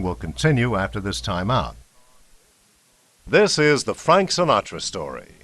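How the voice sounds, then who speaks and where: American radio narrator with lively, swooping delivery. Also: male voice, mid-range voice, no room sound. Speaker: narrator and host of a radio documentary. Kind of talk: scripted radio documentary narration.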